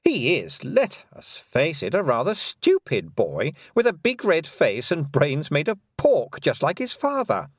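A man putting on a cruel fairy queen, her voice lifted high and gliding with lively swoops as she purrs sweet, mocking scorn. She is condescending and quietly menacing, the reading close and dry like a storybook told at the mic. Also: measured pace, few pauses, breathy edge, no echo, full character voice. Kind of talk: audiobook character voice.

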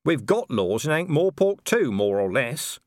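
A man's voice: medium pitch, big pitch swings, measured pace, dry recording, studio quality, voice pushed up. A man voicing a blunt, sceptical male commander in an audiobook, sardonic and exasperated in manner. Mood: puzzled, exasperated. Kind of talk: audiobook character dialogue.

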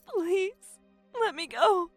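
An actress playing a frightened but defiant captive in an audio thriller, pleading and tense. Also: female voice, very high-pitched, animated, very slow, no echo, faint music behind, full character voice. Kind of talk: dramatic audio thriller acting.

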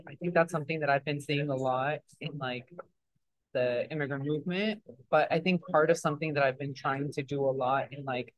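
A man's voice: a little high, fairly bright tone, no echo, poor audio. A young man from Mexico, answering interview questions in a loose, rambling way with many fillers and restarts. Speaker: interview guest. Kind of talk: casual interview talk.

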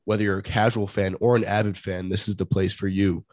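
A congested man co-hosting a sports podcast, speaking in a monotonous tone. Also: low voice, fairly quick, no echo, clean studio sound. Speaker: podcast co-host. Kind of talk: casual sports podcast chat.

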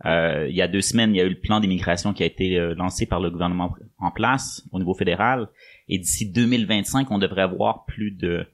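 A man's voice: sits low, fairly quick. A man hosting a podcast in a conversational interviewing style. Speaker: podcast host.

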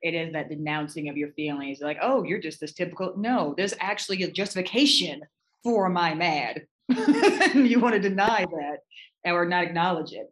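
A speaker moderating a casual discussion, encouraging and animated, laughing easily. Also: medium pitch, moderate pace, poor audio.

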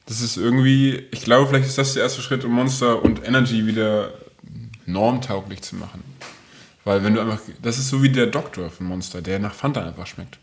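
A man's voice: on the low side, a little fast. A man hosting a casual food podcast, joking along in colloquial, playful banter. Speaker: podcast host.

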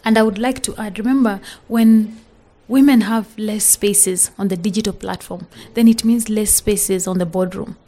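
A woman's voice: medium pitch, steady tone, moderate pace, warm tone. A woman from Kenya with a Kenyan English accent and Swahili as her first language, speaking earnestly as an interview guest, with many restarts and "you know" fillers. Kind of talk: podcast interview.

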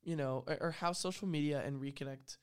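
A man's voice: a little high, fairly even intonation, brisk, clear, resonant tone. A young man hosting a casual podcast chat, agreeable and laughing easily with his guest. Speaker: podcast host.

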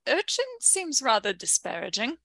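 A woman's voice: a little high, very animated, normal speed, bright, forceful tone, no echo, studio quality, exaggerated voice. A woman voicing a flustered, polite and earnest female professor in a melodramatic radio drama, with a fretful, hesitant manner.

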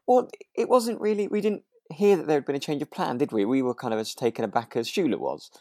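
A man's voice: on the high side, animated, fast, dark tone, no echo, studio quality. A man co-hosting a podcast in casual chat, thinking aloud and phrasing his opinions tentatively. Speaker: podcast co-host. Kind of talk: casual chat reviewing a radio drama.

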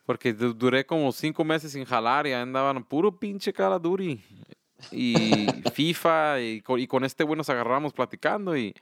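A man's voice: mid-range voice, normal speed, fairly bright tone, dry recording, studio quality. A Mexican-American man hosting a casual podcast, switching between English and Spanish with a loose, profane way of talking. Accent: Mexican-American, mixing English and Spanish. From United States. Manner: loose and profane, switching languages mid-sentence. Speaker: podcast host.

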